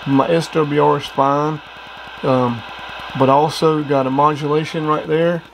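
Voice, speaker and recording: A man's voice: fairly high pitch, little pitch movement, a little slow, poor audio. A man giving a casual demonstration of gear as a hobbyist. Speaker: radio hobbyist reviewing gear. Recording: clear background noise.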